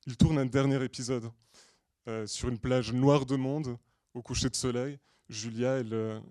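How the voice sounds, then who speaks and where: A man from Portugal presenting a prepared film pitch as a storyteller, with deliberate, earnest phrasing and hesitant pauses. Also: a little mellow, no echo, studio quality. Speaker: screenwriter and director pitching a feature film. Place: screening room at a film school.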